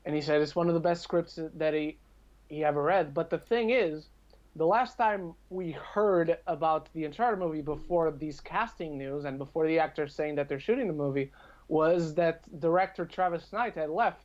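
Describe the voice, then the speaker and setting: A man's voice: fairly high pitch, moderate pace, darkish tone. A man speaking as a podcast guest, giving his opinions on films in casual chat. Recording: dull lo-fi call-like audio.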